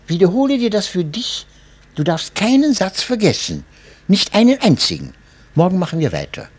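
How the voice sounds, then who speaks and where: A woman's voice: fairly low pitch, big pitch swings, moderate pace, a little mellow, slightly rough, pitched above the performer's own voice. A man voicing a stern, scornful mother who drills her young son harshly and mocks him, in a spoken memoir reading. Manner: harsh and mocking.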